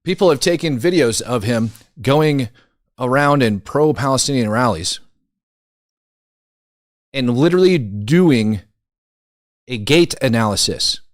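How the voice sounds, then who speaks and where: A male commentary host with a mid-pitched voice and a close, dry sound. He talks in a casual, conversational way, leaning on repetition for incredulous emphasis as he comments on the news. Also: no room sound.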